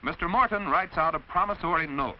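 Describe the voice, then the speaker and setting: An American man reads a scripted mid-century instructional film narration in a didactic, measured, clearly enunciated way. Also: fairly high pitch. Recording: dull, noisy vintage film soundtrack.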